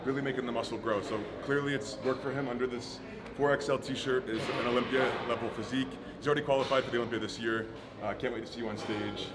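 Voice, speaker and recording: Young male vlogger, mid-pitched, brisk casual delivery, camera mic in a busy gym. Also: steady tone. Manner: upbeat, quick, agreeable. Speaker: vlogger, amateur bodybuilder. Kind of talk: casual vlog chat. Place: large indoor gym. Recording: gym ambience noise behind.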